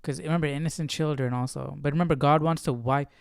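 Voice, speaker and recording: A man hosting a casual Bible study, reading aloud and commenting as he questions himself and thinks out loud. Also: fairly high pitch, steady tone, quick pace, creaky, smooth, no room sound, clean studio sound. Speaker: host of a Bible study stream.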